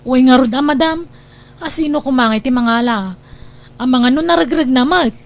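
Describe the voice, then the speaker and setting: A woman's voice: high-pitched, moderate pace. A woman acting in an Ilocano radio drama as a sly, chatty young housemaid, with an Ilocano accent. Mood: innocent, deferential. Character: sly young housemaid who pilfers from her employers. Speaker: drama actor.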